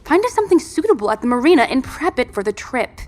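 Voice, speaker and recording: A woman's voice: sits high, very animated, fast, a little mellow, faint music behind. A woman playing a determined, world-weary apocalypse survivor in an audio drama, speaking in a terse, matter-of-fact and commanding way. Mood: forceful, insistent.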